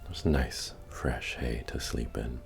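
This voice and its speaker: A man playing a friendly, humble medieval fantasy innkeeper in a soft, breathy, soothing ASMR roleplay voice. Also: steady tone, exaggerated voice. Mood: friendly, helpful. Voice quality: deep, breathy, often near a whisper. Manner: slow, soothing, gently repetitive. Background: music.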